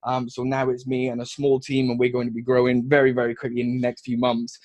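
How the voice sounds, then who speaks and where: A man's voice: medium pitch, quick pace, no room sound. A young man from Wales with a mixed, drifting Welsh accent, chatting energetically as a podcast guest. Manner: energetic, rambling with restarts. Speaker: guest, funnel agency founder. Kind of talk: casual podcast chat.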